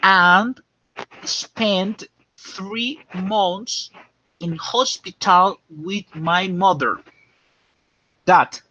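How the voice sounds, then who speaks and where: A man teaching English, dictating slowly and checking often that students understand. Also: high voice, bright, forceful tone. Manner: slow and repetitive, checking understanding. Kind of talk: classroom dictation lesson. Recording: dull lo-fi classroom recording.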